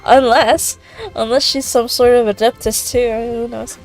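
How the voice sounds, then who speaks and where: A woman's voice: fairly high pitch, level tone, unhurried, faint music behind, low-quality recording. A woman casually reacting to a video game as a streamer, musing hesitantly and giggly. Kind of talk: casual reaction commentary over gameplay.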